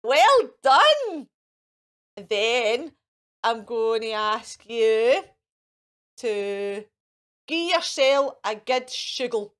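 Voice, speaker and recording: A Scottish woman plays fairy host for young children. Her voice sits high and swoops widely, at a slow, deliberate pace. She coaxes with questions and praises in an exaggerated sing-song way. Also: sing-song, a bit bright. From Scotland. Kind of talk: playful children's presenting to camera. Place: indoors in front of a fairy backdrop.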